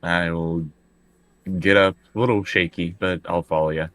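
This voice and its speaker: Man, low-pitched voice, tabletop player, casual chat, hesitant, questioning. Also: steady tone, normal speed, fairly bright tone.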